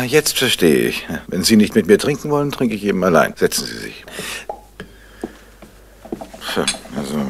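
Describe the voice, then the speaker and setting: A shrewd crime writer in vintage radio drama style. His deep, gravelly voice rises and dips freely, with a teasing, skeptical irony. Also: slight background noise, full character voice. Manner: unhurried, ironic, hesitating for effect. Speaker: lead actor in a crime radio play. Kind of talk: 1970s German radio drama acting.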